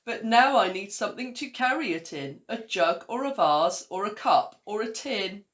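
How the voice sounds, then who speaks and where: A woman voicing an earnest, loving male scarecrow bridegroom from a storybook, with hearty, deliberate declaiming. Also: mid-range voice, lively intonation, a bit bright, pitched above the performer's own voice, lightly acted. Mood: practical.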